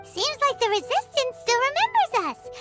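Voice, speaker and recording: A woman voicing a tiny, childlike fairy companion in a video game, cheeky, curious and squeaky. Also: sing-song, moderate pace, loud music behind the voice. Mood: determined. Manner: refers to self in third person, exclaiming. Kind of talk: video game character dialogue.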